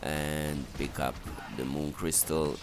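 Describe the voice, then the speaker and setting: A man giving step-by-step, halting instructions as commentary for a strategy game walkthrough. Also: very deep voice, little pitch movement. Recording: clearly noisy voice recording.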